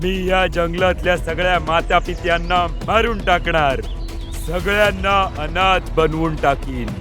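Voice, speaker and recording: A man voicing a vengeful demon in an animated fable, with a menacing, boastful manner. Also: sits high, unhurried, over music. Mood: gloating menace. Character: vengeful male demon terrorizing the jungle.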